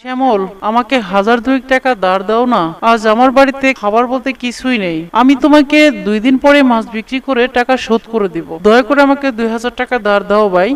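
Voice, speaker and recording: A man's voice: very high voice, quick pace, hardly any pauses, clean tone, recorded from a distance. A man voicing a poor, pious and patient fisherman in a cartoon. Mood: pleading. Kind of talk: cartoon voice acting.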